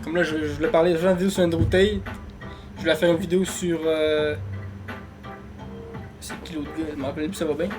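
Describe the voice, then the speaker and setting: A man with a Québécois accent co-hosting a podcast, rambling casually, laughing often and trailing off quietly. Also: fairly high pitch, steady tone, fast, pauses often, over music. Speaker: podcast co-host. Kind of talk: casual chat.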